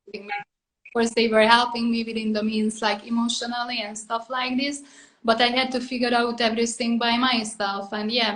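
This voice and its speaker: A woman's voice: on the high side, fairly bright tone, rough recording. A woman from Hungary with a Hungarian accent, talking casually about personal things in a rambling way, peppered with filler phrases. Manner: rambling, full of "like" and "you know".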